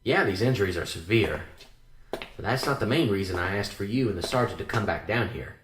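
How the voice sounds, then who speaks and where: Seasoned, morbidly chatty male mortician for radio drama, played by a man, lively pitch, roomy sound. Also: fairly quick, noisy background, natural voice. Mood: eagerly morbid. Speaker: actor in a student radio drama. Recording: roomy echo with clear music behind. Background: music.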